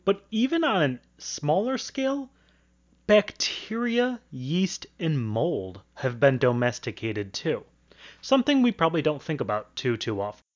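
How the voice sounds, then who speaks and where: A man's voice: fairly high pitch, sing-song, unhurried, dull audio. A young adult man speaking as the host of a student radio interview show. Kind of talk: scripted radio introduction and conversational interviewing.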